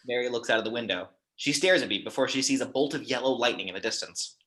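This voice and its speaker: Bright-voiced male script narrator. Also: mid-range voice, quick pace. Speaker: narrator reading action descriptions.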